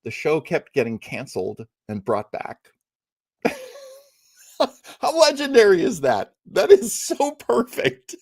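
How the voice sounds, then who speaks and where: Lively, chatty man hosting a livestream. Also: on the high side, slow, long pauses, no echo, studio quality. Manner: enthusiastic, rambling, acts out quotes. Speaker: livestream host. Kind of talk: casual live stream chat.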